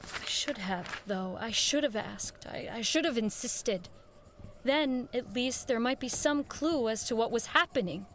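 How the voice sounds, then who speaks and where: A woman's voice: a little high, animated, a little slow, a bit bright, a little hiss or hum. An actress playing an anxious, earnest woman who recounts a horrifying ordeal in an intense, theatrical audio drama style. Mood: haunted, grave. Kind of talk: dramatic first-person horror narration with acted dialogue. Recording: music under the voice. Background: dramatic music.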